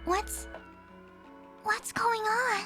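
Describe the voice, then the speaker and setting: A timid little girl from a game played by a woman, with a very high, small voice. She sounds hesitant and close to tears, drawing words out slowly with music behind her. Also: fairly even intonation, pushed and bright, full character voice. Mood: frightened, timid. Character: timid, tearful little girl in visual novel.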